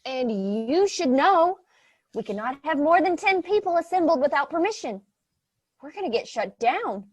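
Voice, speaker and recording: A woman's voice: sits high, big pitch swings, moderate pace, no echo. A woman playing an overanxious, panicky theater board member in a farcical comedy, dramatic and alarmist. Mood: panicked.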